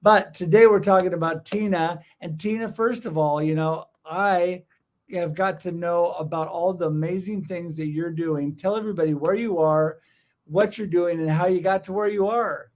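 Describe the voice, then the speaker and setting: A man's voice: high voice, steady tone, normal speed, darkish tone. A man hosting a casual video-call podcast, enthusiastic and effusive, rambling a little with fillers. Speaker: podcast host. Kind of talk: casual interview chat.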